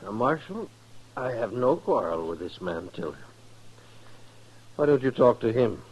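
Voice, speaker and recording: An actor playing a proud, cool gentleman gambler in a 1950s radio western, formal, polite and self-assured. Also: medium pitch, big pitch swings, a little slow, a little hiss or hum. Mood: courteous, cool. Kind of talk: 1950s radio drama acting. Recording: dull 1950s radio recording.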